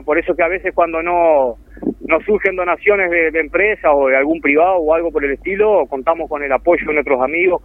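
A man's voice: on the high side, no room sound. A man with a Uruguayan accent talking earnestly and at length as a guest calling in to a radio interview. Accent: Uruguayan Spanish. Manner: earnest, heartfelt, rambling. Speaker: phone-in guest, charity volunteer. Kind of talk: casual radio interview over the phone. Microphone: telephone. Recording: tinny dry phone line.

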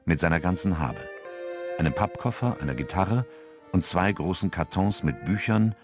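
Deep-voiced, sober male narrator. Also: expressive. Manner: measured, sober, factual. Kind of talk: scripted literary crime narration, radio drama. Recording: faint music behind.

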